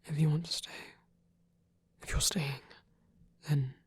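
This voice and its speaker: A performer voicing a gentle, protective vampire priest in a soft, husky whisper, tender and hesitant, as intimate ASMR roleplay. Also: medium pitch, steady tone, unhurried. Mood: devoted, soft.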